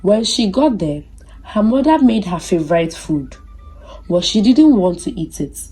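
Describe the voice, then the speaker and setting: A woman narrating a scripted folktale as a storyteller, in a measured, deliberate and dramatic way. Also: mid-range voice, big pitch swings, mellow, husky, faint music behind, low-quality recording.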